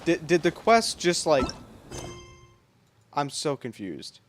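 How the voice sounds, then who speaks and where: A man's voice: on the high side, very animated, slow, slight background noise. A man chatting casually on a gaming livestream in a playful, self-deprecating way. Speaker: game livestreamer. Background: game music.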